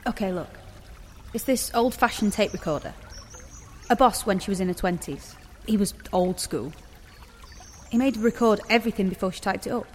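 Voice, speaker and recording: A woman's voice: medium pitch, a little mellow, lightly acted. Actress as young british woman, anxious and exasperated, drama dialogue, faint watery noise behind. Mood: guarded, explaining. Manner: urgent, halting, exasperated. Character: anxious, stubborn young woman suspicious of her parents.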